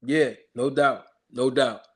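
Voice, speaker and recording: A man's voice: medium pitch, steady tone, slow pace, crowd noise. A male channel host giving an emphatic commentary rant, mocking critics with repeated rhetorical questions.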